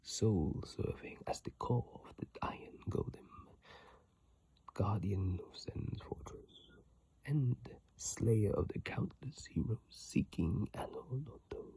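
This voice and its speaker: An ASMR creator whispering in a breathy, halting, informal way, commenting on games close to a phone mic. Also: medium pitch, no echo, poor audio. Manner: slow, hushed, halting and informal. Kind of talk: whispered ASMR reading and rambling game commentary. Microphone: iPhone mic, held close.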